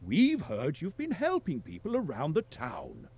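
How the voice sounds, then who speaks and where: An actor playing a jolly, bumbling daddy pig in a children's cartoon game, hearty and jovial. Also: male voice, on the high side, huge pitch swings, unhurried, a little mellow, slightly rough, exaggerated voice. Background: music.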